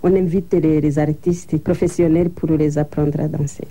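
A woman's voice: low voice, little pitch movement, moderate pace, mellow. A woman guest in an interview, plain-spoken and recounting events step by step. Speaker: interview guest, association co-founder. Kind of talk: broadcast interview.

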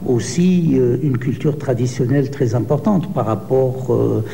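A man in his seventies, born in Algeria, answering interview questions slowly with many hesitations. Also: medium pitch, creaky, warm tone, big-room sound, slight background noise, poor audio. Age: 70s. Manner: slow, hesitant, many pauses and fillers. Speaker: poet.

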